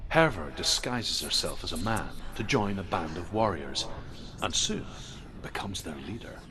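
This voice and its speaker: An actor playing a grave storyteller of Norse legends in a video game, solemn, measured and dramatic. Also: male voice, sits low, sing-song, noisy background. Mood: ominous narrating.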